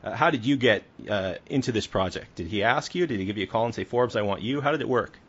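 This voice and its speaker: A man's voice: fairly low pitch, quick pace, slightly rough. A man hosting a casual podcast interview, asking friendly, upbeat questions with some fillers. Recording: dull lo-fi remote audio.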